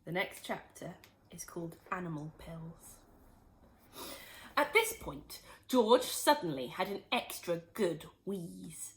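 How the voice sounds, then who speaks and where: A woman teacher reading a children's book aloud in an expressive, animated way. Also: fairly low pitch, slow pace. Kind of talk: storybook read-aloud.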